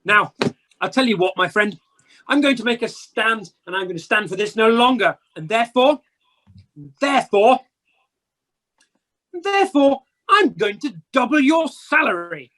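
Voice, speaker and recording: An actor playing a reformed old miser uncle in an amateur radio play, speaking in a theatrical, deliberate way over a home video call. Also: medium pitch, expressive, fairly bright tone. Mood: mock stern.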